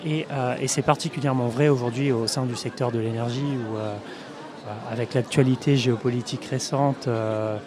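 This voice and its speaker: A man answering interview questions at a trade fair, speaking in measured phrases with frequent hesitations. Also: medium pitch, fairly even intonation, a crowd in the background. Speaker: in-house lawyer, guest.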